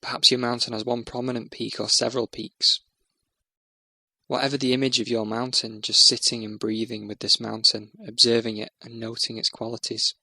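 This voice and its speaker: A man narrating a guided meditation in a soothing, measured, instructional way. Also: mid-range voice, flat delivery, gravelly, bright, forceful tone, no echo.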